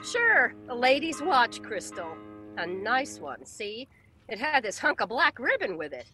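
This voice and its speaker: A woman's voice: fairly high pitch, sing-song, unhurried, pushed and bright, soft background music, heavily acted. A woman voicing a brash, cheeky, slangy street witness in 1940s radio style. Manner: slangy, uneducated wisecracking speech. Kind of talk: 1940s radio comedy-drama acting.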